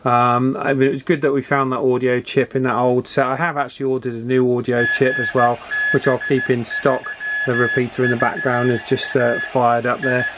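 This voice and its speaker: A man's voice: mid-range voice, flat delivery, normal speed, very noisy. A man chatting casually as he hosts a radio hobby video, hesitant and conversational with frequent tag questions.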